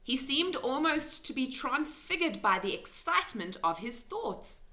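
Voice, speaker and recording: Slow, expressive woman reading a storybook. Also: sits high, echoey room. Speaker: amateur storybook reader. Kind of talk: expressive children's story narration.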